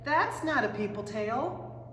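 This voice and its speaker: A woman voicing a blunt, doubtful little girl in a storybook, making emphatic, drawn-out declarations. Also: high voice, lively intonation, bright, forceful tone, pitched above the performer's own voice, full character voice. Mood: indignant. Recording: echoing room, distant mic, faint noise.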